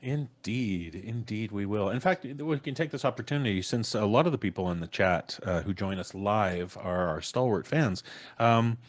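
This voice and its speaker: Middle-aged man, lowish pitch, podcast host, jovial showman delivery, casual live chat. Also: normal speed. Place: home room on a video call.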